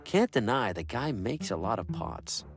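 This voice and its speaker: Lively, wry salesman. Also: fairly low pitch, moderate pace, faint music behind. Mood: wry, polite. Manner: wry asides, questioning. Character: bemused, jittery male traveling book salesman, wry. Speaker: player character in adventure game. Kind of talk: video game voice acting.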